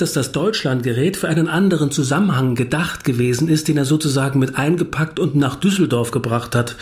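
A man's voice: a little high, darkish tone, natural voice. Pensive male writer played by a man. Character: thoughtful, searching male writer telling his story. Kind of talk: radio drama dialogue.

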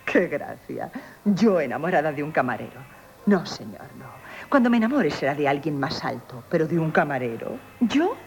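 An actress playing a proud, scheming, flirtatious innkeeper in radio theatre, brisk and teasing. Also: female voice, deep voice, very animated. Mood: coquettish. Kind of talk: 1970s radio theatre acting. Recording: dull, noisy old radio broadcast.

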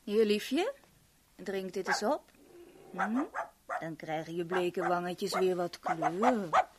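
A woman's voice: on the low side, expressive, slow pace, very noisy. An actress playing a brazen, flirtatious, motherly crook in a 1970s radio drama, cooing and coaxing suggestively. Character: brazen, flirtatious motherly female crook calling herself mama.

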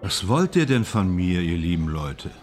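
A man playing a brooding, uneasy film actor in a German radio drama, understated and quietly earnest. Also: deep voice, very animated, moderate pace, over loud music. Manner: restrained, understated.

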